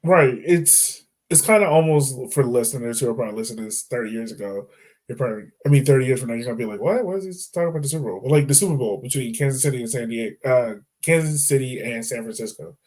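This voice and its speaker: A man's voice: mid-range voice, quick pace, darkish tone. A man co-hosting a sports podcast in casual chat, rambling, correcting himself mid-sentence and laughing easily. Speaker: podcast co-host.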